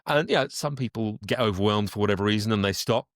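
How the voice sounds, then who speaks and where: A man's voice: fairly low pitch, fast, no echo, studio quality. A man chatting casually as an interview guest, effusive and emphatic about his work.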